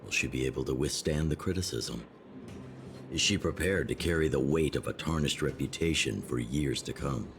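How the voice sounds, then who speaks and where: An actor playing a weary, pragmatic old man in a video game, speaking in a measured, grave way. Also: extremely low pitch, a bit bright, slight background noise. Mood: concerned. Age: older. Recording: game audio with music behind.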